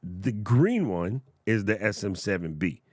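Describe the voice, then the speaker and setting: A man's voice: fairly low pitch, animated, a little slow, no echo. Playful chatty man on a close studio mic. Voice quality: loud voice. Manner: playful, jokey, chatty with viewers. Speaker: tech reviewer livestream host. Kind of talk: casual livestream product demo. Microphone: studio broadcast mic up close.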